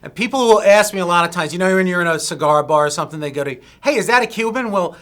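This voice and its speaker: A man interviews a guest in a casual, upbeat way and keeps talking him up. His voice is bright and sits a little high, with a forward edge. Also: animated, fast. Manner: upbeat, chatty, hyping the guest. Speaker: interviewer, magazine editor.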